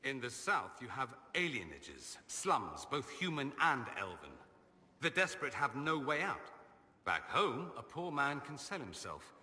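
Wry vain mage nobleman, drawling, sharp bright tone, long echo. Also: medium pitch, very animated, measured pace, full character voice. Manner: sardonic, drawling, self-amused. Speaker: companion character. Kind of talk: video game dialogue.

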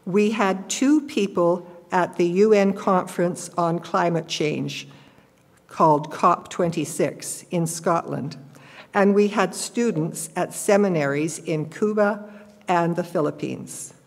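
Woman, seventies, lowish voice, matter-of-fact reading of a report into a lectern mic, reverberant church. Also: slow pace. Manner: plain, careful, matter-of-fact. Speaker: church member giving a report. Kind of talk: reading a prepared report aloud. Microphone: gooseneck lectern microphone.

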